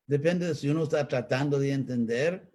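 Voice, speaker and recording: A man with an American accent, a native English speaker, teaching in a live online talk and answering questions, pausing to search for words. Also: mid-range voice, a little mellow. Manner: slow, searching for Spanish words with pauses. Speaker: spiritual teacher.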